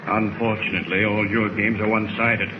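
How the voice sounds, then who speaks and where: An actor playing a bitter, defiant captive submarine captain in a 1940s radio drama. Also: male voice, fairly low pitch, little pitch movement, fairly quick, lightly acted. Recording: noisy 1940s radio recording.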